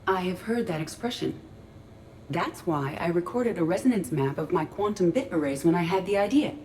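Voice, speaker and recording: Game role, woman acting curious literal female AI. Lowish voice, precise formal phrasing, dry delivery, noisy ambience. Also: expressive, normal speed, darkish tone, close to an everyday voice. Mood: formal, earnest. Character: female ship artificial intelligence in android body, curious, literal, earnest. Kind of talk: video game dialogue acting.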